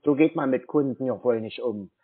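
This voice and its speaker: A man's voice: mid-range voice, a little fast, slightly rough. A man on a phone call, arguing as a dissatisfied customer in a long-winded, insistent, scolding way. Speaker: caller posing as an aggrieved customer. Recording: narrow, tinny phone-like sound. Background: phone call recording.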